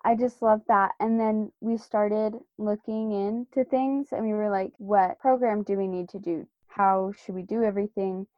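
A woman's voice: medium pitch, steady tone, moderate pace, hardly any pauses, no room sound, lo-fi sound. A woman guest earnestly telling a personal story in a casual faith discussion.

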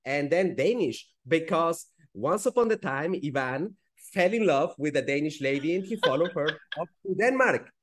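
Peruvian-born man, Spanish accent, forties, slightly high voice, reflective guest. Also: lively intonation, moderate pace, few pauses. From Peru. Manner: thoughtful, frequent restarts and ums. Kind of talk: interview conversation.

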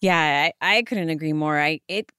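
A woman co-hosting a podcast, raspy from a sinus infection, chatting in a lively, enthusiastic way and laughing often. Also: deep voice, normal speed, no room sound, studio quality. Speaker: podcast co-host. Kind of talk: casual chat.

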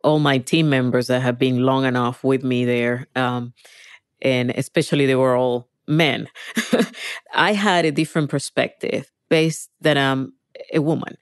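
A woman's voice: extremely low pitch, steady tone, unhurried, no echo, studio quality. A middle-aged woman from Venezuela with a Venezuelan accent and Spanish as her first language, giving emphatic, conversational interview answers and repeating phrases for stress. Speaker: interviewee, virtual reality engineer.

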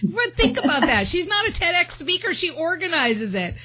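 A woman's voice: very high-pitched, big pitch swings, normal speed, lo-fi sound. Enthusiastic woman interview host. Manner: enthusiastic, coaching tone. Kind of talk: podcast interview.

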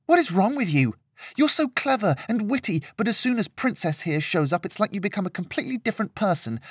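A man voicing a hot-tempered, exasperated teenage girl who rants loudly and indignantly in a storybook reading. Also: high voice, very animated, a little fast, husky, dry recording, studio quality, voice pushed up, full character voice. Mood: exasperated fury. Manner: loud, indignant ranting.

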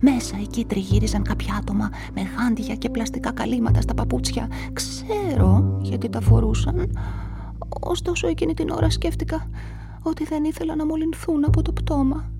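An actress narrating as a woman suspected in a crime, in a hushed, whispery inner-monologue manner, in a radio play with a music bed. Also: sits high. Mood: anxious. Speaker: narrator and witness.

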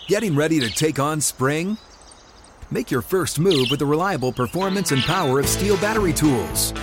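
A man reading a scripted retail advertisement as an announcer. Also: on the high side. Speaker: commercial announcer. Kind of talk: scripted ad read.

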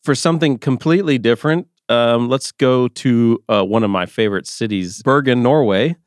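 A man's voice: medium pitch, moderate pace, dry recording, studio quality. A man co-hosting a music podcast, chatting casually and rambling with lots of filler words. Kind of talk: casual chat.

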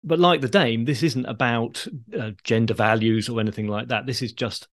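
Guy hosting a podcast, mid pitched voice, explains stuff in a chatty halting way. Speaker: podcast host. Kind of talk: conversational explanation of theatre history.

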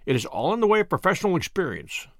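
A man reading the part of a calm, courteous gentleman detective in an audiobook story, measured and polite with dry understatement. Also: high-pitched, no echo. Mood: wry, thoughtful.

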